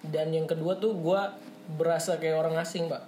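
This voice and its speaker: A young man from Papua with a Jakarta accent, chatting as a podcast guest in a relaxed, slangy, storytelling way. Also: fairly high pitch, moderate pace, slight background noise. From Papua, Indonesia. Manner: relaxed, slangy, animated retelling. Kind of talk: casual storytelling chat. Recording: echoey room, faint music.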